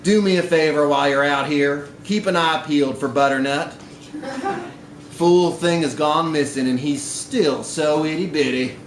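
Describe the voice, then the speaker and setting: A man's voice: on the high side, moderate pace, close to an everyday voice. A man acts out a grudging, suspicious older country woman in a live story reading. The voice is raised and scolding, with folksy bite, in a roomy, slightly noisy space. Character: gruff, suspicious rural older woman, grudging former mother-in-law.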